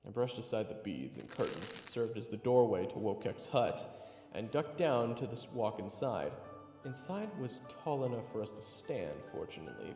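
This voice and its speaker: Man as a wildly animated, snarky young adventurer. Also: fairly low pitch, reverberant, big-room sound. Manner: expressive, sarcastic, jumpy. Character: excitable, snarky young male adventurer narrating his story. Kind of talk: first-person narration and audio roleplay acting.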